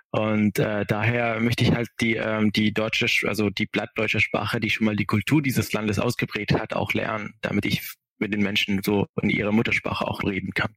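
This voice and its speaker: Young man, slightly low, hesitant and earnest guest, dry sound. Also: fairly bright tone, no room sound. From Syria. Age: 20s. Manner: hesitant, many fillers, earnest. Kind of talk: conversational interview answers.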